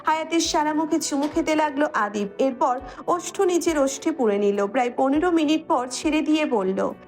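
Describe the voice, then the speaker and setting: A woman's voice: high-pitched, animated, normal speed, a little mellow, soft background music. A woman with a Bangladeshi accent narrating a scripted romantic audio story.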